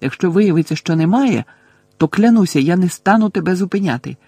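A man's voice: on the high side, moderate pace, darkish tone, breathy edge, dry recording. A man voicing an earnest, anxious teenage girl witch in an audiobook, pleading and stubbornly reasoning. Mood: desperate pleading.

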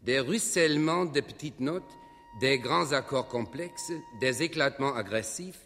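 An actor playing a solemn, florid classical concert announcer in a radio play, speaking in a formal, high-flown manner. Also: male voice, normal speed, a bit bright, lightly acted. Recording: long hall reverb, music behind. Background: music.

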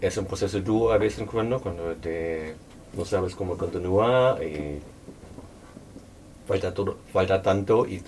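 Low-voiced non-native author, hesitant. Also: a little mellow, distant mic, slight background noise. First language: not Spanish. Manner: hesitant, thoughtful, many pauses and fillers. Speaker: author presenting a book. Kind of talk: book launch talk. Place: local venue at a public presentation.

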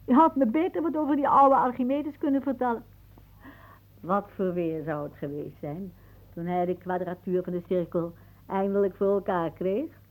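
An actress playing a whimsical, dreamy elderly aunt in a radio play, musing theatrically and drifting into hushed asides. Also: female voice, medium pitch, steady tone, moderate pace, phone-quality sound, lightly acted. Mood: playful, musing.

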